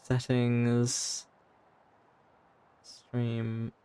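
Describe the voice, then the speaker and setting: A woman comments casually on a game she is streaming. Her voice is extremely low, and she speaks slowly in short muttered asides. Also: monotone, warm tone, clean tone. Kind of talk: casual live reaction commentary.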